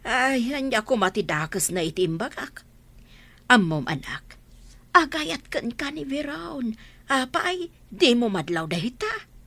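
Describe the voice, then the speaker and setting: Animated older mother. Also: a little high, measured pace, dry recording, exaggerated voice. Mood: insistent. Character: aging, pleading mother longing for grandchildren. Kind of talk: Ilocano radio drama acting.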